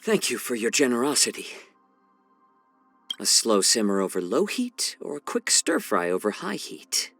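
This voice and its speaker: An actor playing a calm, wry, courteous male healer and strategist in a video game, with a measured, genteel, gently teasing delivery. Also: mid-range voice, creaky, no room sound, faint music behind, heavily acted. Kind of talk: scripted video game character voice lines.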